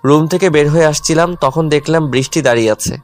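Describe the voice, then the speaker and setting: Higher-pitched man narrating a story. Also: fast. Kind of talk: dramatised first-person story narration. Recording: music under the voice.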